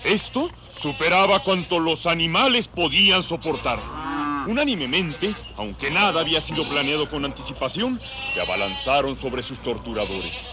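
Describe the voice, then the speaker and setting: A man's voice: a little high, animated, moderate pace. A man narrating a dramatized novel in a scripted storytelling style. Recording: farm animal noise behind.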